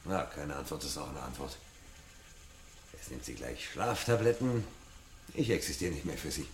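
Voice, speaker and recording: Very deep, gravelly troubled husband. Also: male voice, normal speed, slight background noise. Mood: exasperated. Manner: muttering, with outbursts. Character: troubled, exasperated fireman husband, muttering to himself. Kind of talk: 1970s German radio play acting.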